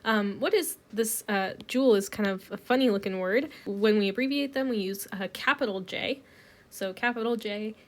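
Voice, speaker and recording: A woman teaching a recorded chemistry lecture while getting over a cold, talking through each step with plenty of ums. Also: medium pitch. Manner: relaxed, explains step by step with many ums. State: recovering from a cold.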